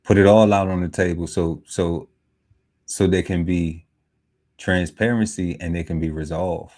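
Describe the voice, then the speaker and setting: A man's voice: low voice, steady tone, unhurried, a little mellow. A man in his forties chatting casually on a podcast panel, talking in a loose, laid-back way with many fillers. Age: 40s. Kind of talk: casual chat. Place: home podcast setup.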